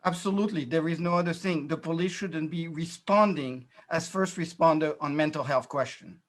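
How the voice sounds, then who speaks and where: Male candidate, high voice, earnest and a bit halting, speaking over a video call. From France, New York and Dominican Republic. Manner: earnest, halting with frequent fillers. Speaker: political candidate. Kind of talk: candidate forum remarks.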